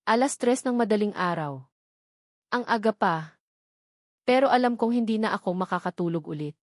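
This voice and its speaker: A woman narrates a first-person romantic drama from a script, dramatically, in short halting phrases. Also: mid-range voice, expressive, no room sound, studio quality. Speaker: story narrator.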